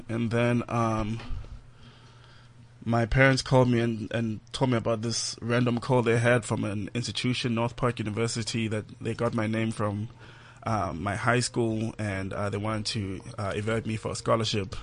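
Measured South African guest, flat delivery. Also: male voice, mid-range voice. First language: Zulu. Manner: measured, reflective, softening toward the end of phrases. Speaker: film director, studio guest. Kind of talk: interview. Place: radio studio.

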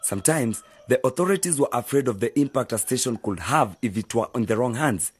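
A husky male voice plays a sensible older brother in a radio drama. He is patient and measured, laying out advice point by point. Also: medium pitch, expressive. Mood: serious, explanatory. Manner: measured, explanatory.

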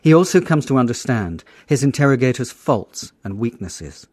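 A man's voice: mid-range voice, very animated, moderate pace, darkish tone, dry recording. A man reading scripted narration to introduce an extract.

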